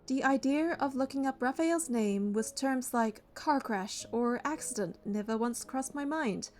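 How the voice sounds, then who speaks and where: An actress playing a devoted, protective young bodyguard heroine in a romance game, telling her story in an earnest, measured way. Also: high voice, darkish tone, faint music behind. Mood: concerned, narrating. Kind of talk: romance game narration and dialogue.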